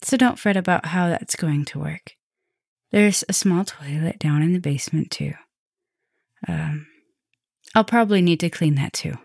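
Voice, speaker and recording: Casting: woman to play female vampire professor for ASMR roleplay. Lowish voice, close and dry, caring soft-dominant mistress, gentle with breathy dips. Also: normal speed, long pauses, no room sound. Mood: playful, reassuring. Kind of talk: ASMR roleplay, speaking intimately to the listener.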